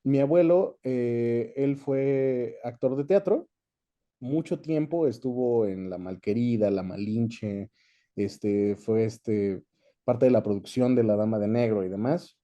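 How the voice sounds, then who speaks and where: A young man with a Mexican accent answering questions in a casual interview. His voice is very mellow and medium in pitch, and he talks in a chatty, easygoing way. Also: slow pace, muffled recording. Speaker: guest, voice actor.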